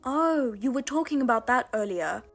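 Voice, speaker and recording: A woman plays a bitter older sister in a voiced drama, cold and firm, keeping her voice flat and restrained. Her voice sits fairly high, with soft music underneath. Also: moderate pace, vocal fry. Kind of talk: narrated drama voice-over.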